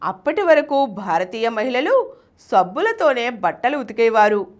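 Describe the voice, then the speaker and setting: High-pitched, very expressive woman narrator. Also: measured pace. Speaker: host and reader of a business success story show. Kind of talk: scripted storytelling narration.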